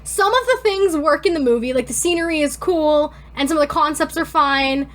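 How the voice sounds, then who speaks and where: A woman with a high-pitched voice chats casually as a podcast co-host. She is expressive and giggly and tends to ramble through her thoughts. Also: brisk. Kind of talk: casual banter.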